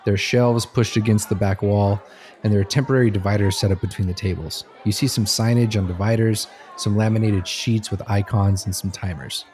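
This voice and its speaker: A man's voice: fairly low pitch, steady tone, fairly quick, a little mellow. A man reading scripted second-person narration that sets out a scene step by step.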